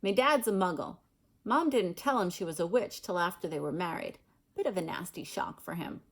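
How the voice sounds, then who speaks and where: A woman's voice: lowish voice, animated, moderate pace. A woman voicing a chatty, plain-spoken, eager young schoolboy wizard in a storybook. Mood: matter-of-fact.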